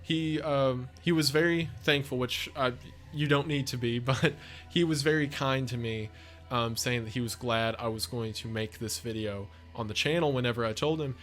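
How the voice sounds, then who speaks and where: A man's voice: mid-range voice, moderate pace, fairly bright tone, soft background music. A man giving casual off-the-cuff video commentary, chatty, effusive and enthusiastic as a video creator. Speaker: video essay creator and narrator.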